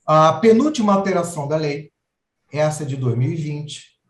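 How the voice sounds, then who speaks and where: A man with a Brazilian Portuguese accent delivers a lecture, explaining step by step and stopping often on long fillers. His voice sits fairly high for a man. The sound is roomy and echoing, and he seems to stand some distance from the microphone. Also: lively intonation, normal speed. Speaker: lecturer on citizenship law. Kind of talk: lecture at a legal panel.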